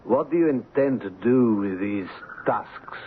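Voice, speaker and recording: An actor playing a weary, bitter colonial ivory trader in a 1970s radio drama. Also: male voice, fairly low pitch, animated, a little slow. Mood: businesslike. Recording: narrow-band, noisy old radio broadcast.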